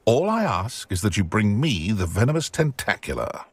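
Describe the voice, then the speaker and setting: An actor playing a scheming, vengeful wizard merchant in a video game, theatrical and gloating, with a British accent. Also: male voice, fairly low pitch, big pitch swings, normal speed, breathy edge, dry recording, exaggerated voice.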